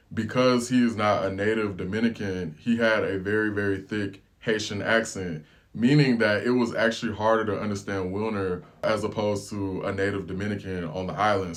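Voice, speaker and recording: An American man vlogging, telling a relaxed, slangy travel story in casual chat. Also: on the low side. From United States. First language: English.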